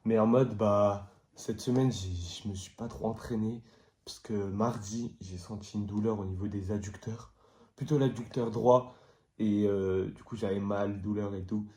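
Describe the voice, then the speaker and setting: A young man with a cold talks in a low, flat, mellow voice, rambling through loose explanations. His voice rings around an echoey room. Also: measured pace. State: has a cold. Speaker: vlogger and player. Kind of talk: casual vlog chat.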